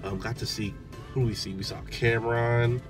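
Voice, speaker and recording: A man in his thirties talking casually in a parked car, relaxed and chatty with lots of "um"s, recorded on a dashboard camera. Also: mid-range voice, moderate pace, over music. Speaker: vlogger, father. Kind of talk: casual vlog chat.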